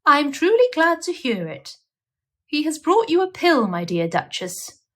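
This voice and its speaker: A woman plays a practical lady animal hosting in a children's storybook. The voice is high, prim and proper, with a brisk, firm way of speaking. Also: wildly swooping pitch, a bit bright, exaggerated voice. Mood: coaxing.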